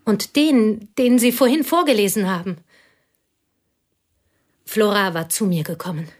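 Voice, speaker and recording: An actress plays a bitter, lonely woman who pours out old betrayals in a radio play. Her voice is hushed and intense, often sinking to a whisper. It sits at a middle pitch. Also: measured pace, lightly acted. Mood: bitter confession.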